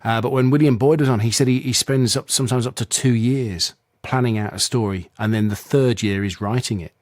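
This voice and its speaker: A man's voice: medium pitch, a little fast, a little mellow, no echo, studio quality. A man hosting an interview on the radio, chatty and asking questions with plenty of tag phrases. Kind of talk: interview host.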